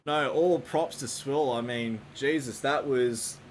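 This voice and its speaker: A man's voice: mid-range voice, takes it slowly, slight background noise, poor audio. A man chatting casually after a game, rambling with many fillers. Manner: relaxed, rambling with fillers, laughing. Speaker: esports caster. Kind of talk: casual post-match chat.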